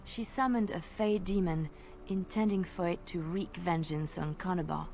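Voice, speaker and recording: A woman telling an old legend in character during a tabletop roleplay, measured and dramatic, at times dropping to a hush. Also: on the low side, fairly even intonation, vocal fry, slight background noise.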